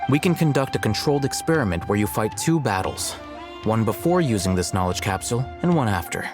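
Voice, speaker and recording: An actor playing a cool, calculating young male scholar in a video game, speaking in a measured, articulate, detached way. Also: on the low side, lively intonation, over music, natural voice. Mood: detached, analytical. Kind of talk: English video game voice acting.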